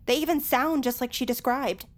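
A woman playing a nerdy, earnest high school superfan in an audio drama, explaining things at length. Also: steady tone, normal speed, close to an everyday voice.

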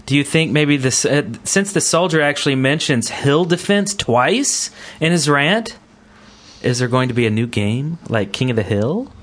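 A man's voice: fairly high pitch, very animated, moderate pace, a bit bright. A man hosting a gaming podcast in casual, chatty talk, often hedging what he says. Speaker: podcast host.

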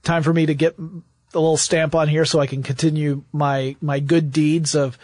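A man's voice: fairly high pitch, little pitch movement, normal speed, darkish tone, dry recording, clean studio sound. A man co-hosting a podcast, telling history in a casual, wry, conversational way. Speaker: podcast co-host.

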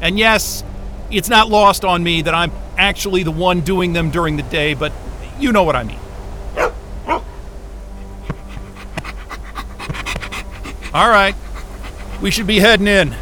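An actor playing a stubborn, frayed, sardonic astrophysicist in a naturalistic sci-fi audio drama, talking in a profane, wry, agitated way. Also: male voice, high-pitched, normal speed, sharp tone, slight background noise. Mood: tense, weary.

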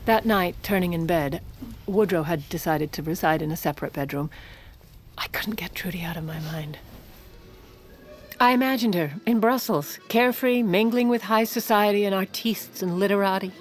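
A woman's voice: medium pitch, normal speed, soft background music, natural voice. An actress playing a proud, scheming first lady in a comic audio drama, wry and given to muttered asides. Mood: brooding, determined. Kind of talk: audio comedy drama, dialogue and first-person narration.

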